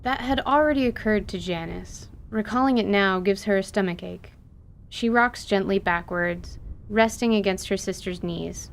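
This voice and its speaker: A woman reading scripted story narration as the narrator of an audio drama. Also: moderate pace. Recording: noisy recording with low rumble.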